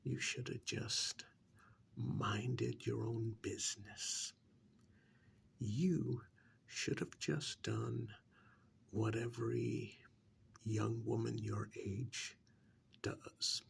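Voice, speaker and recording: A man playing a calm, menacing killer in a soft-spoken ASMR horror role-play, hushed and deliberate, with a condescending, musing manner. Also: mid-range voice, a little hiss or hum, exaggerated voice. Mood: cold, scolding. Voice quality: breathy half-whisper with gravelly creak. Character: calm, menacing male killer who preserves victims as art.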